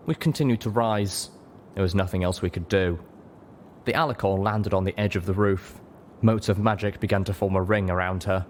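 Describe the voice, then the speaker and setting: A man's voice: low-pitched, slight background noise. A man reading an audiobook, giving scripted fiction a dramatic narration. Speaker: audiobook reader.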